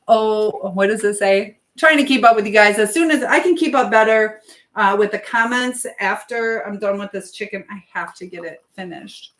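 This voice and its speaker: A woman hosting a casual live chat, rambling and trailing off as she answers viewers while busy with something else. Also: lowish voice. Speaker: livestream host.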